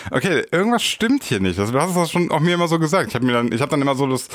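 A man with a German accent co-hosting a casual podcast, chatting in a joking manner with animated interjections. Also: a little high, racing, no room sound, studio quality. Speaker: podcast co-host. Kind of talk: casual chat.

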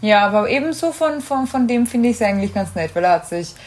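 A woman's voice: mid-range voice, lively intonation, brisk, darkish tone. A woman with an Austrian German accent chatting casually as a podcast co-host, often searching for words and rephrasing mid-sentence. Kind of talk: casual unscripted chat about films and series.

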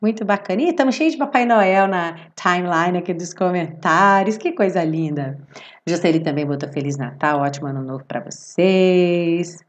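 A woman with a Brazilian accent, hoarse from a cold, chatting expressively and affectionately as she hosts a live online class. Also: fairly low pitch, moderate pace. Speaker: English teacher hosting a live online music-based class. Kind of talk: informal livestream chat with viewers.

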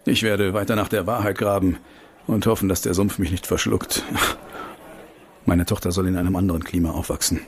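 A lowish male voice as a determined investigator in a political radio play. He is measured and knowing, disillusioned with the state and drily sardonic. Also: little pitch movement, husky. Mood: grim, resolute.

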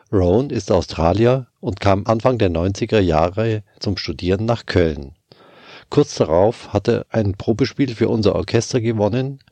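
Bavarian man hosting, husky low voice. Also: a little mellow, dry recording. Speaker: podcast host, orchestra violist. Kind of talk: scripted intro then casual chat.

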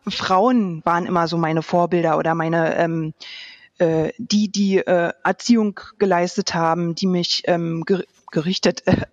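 A woman's voice: fairly low pitch, fairly even intonation, measured pace, no echo, muffled recording. A woman of thirty-nine from Berlin, giving casual personal answers as an interview guest, hesitantly searching for words. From Berlin, Germany. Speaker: podcast guest, youth welfare coordinator.

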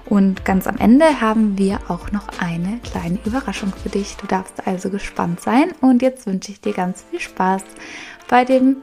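A woman hosting a podcast interview in a casual, friendly chat style, quick to laugh. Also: medium pitch, darkish tone, faint music behind. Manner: laughs readily. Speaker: podcast host interviewing a guest.

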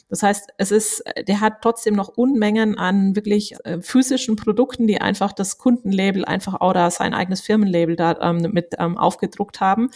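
A woman in her forties with a Franconian German accent gives a business interview. She explains her company's work at length and often pauses on fillers. Her voice is at a middle pitch for a woman. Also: darkish tone. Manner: talks at length with many hesitation fillers. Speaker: company executive guest.

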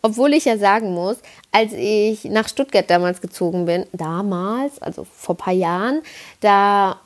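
A young woman from the Palatinate talks into a podcast mic with a faint Palatinate accent. She chats in a loose, self-mocking way, often starting a sentence over. Her voice sits at an ordinary pitch for a woman. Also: unhurried. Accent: slight Palatinate German. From Palatinate, Germany. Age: 20s. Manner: chatty and self-ironic, rambling with many restarts. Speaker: podcast host. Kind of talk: casual podcast monologue. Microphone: podcast microphone.

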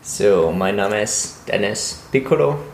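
A man in his mid twenties from Italy co-hosts a casual podcast. He talks slowly, with a gravelly, creaky edge to his voice. The recording is roomy, with some echo. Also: fairly low pitch, lively intonation. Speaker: podcast co-host, calisthenics coach. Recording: roomy echo, faint background noise.

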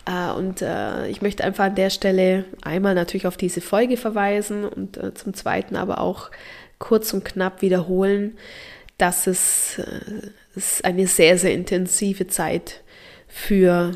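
A woman's voice: medium pitch, fairly even intonation, unhurried, vocal fry, a little mellow. A woman hosting a podcast as a childbirth coach, talking informally to expectant mothers, slightly nasal from a mild head cold, with frequent hesitations.

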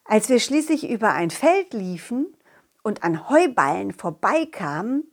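A woman's voice: mid-range voice, big pitch swings, measured pace, voice pushed down. A woman voicing Kollin, a confident, loyal talking dog, narrating a children's audio play in a simple, child-directed way. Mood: eager, encouraging. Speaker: storyteller and main character of a children's audio story.

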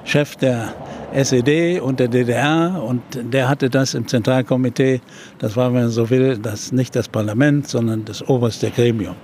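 An older man, a journalist and TV presenter, recounting a historical event in an interview with many pauses and filler sounds. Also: medium pitch, fairly even intonation, darkish tone, slight background noise. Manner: hesitant storytelling with many fillers.

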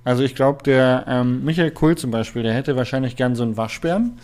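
A man from Berlin co-hosting a casual chat over a remote call. He talks hesitantly, with many filler pauses while he gathers his thoughts. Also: mid-range voice, darkish tone. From Berlin, Germany. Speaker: podcast co-host.

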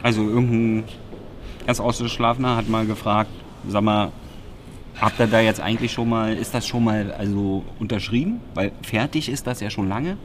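A man's voice: lowish voice, moderate pace, a little hiss or hum. A man from Berlin co-hosting a podcast, recapping press conferences in a wry, sarcastic, rambling way. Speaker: podcast co-host.

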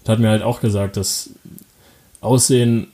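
A young man from Germany answering interview questions casually, halting often with fillers, restarts and quick laughs. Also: fairly low pitch, steady tone, warm tone.

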